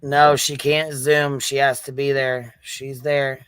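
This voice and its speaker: A man's voice: medium pitch, flat delivery, slow, a bit bright, clear, resonant tone, no room sound. A man hosting a casual livestream panel, talking bluntly with some profanity.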